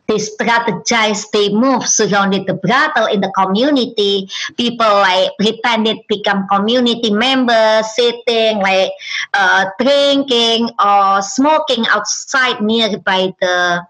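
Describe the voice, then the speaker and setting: Brief: woman, Indonesian accent, non-native English, interview guest telling her own story; slow, halting, lots of fillers. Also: mid-range voice, fairly bright tone, poor audio. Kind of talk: personal testimony.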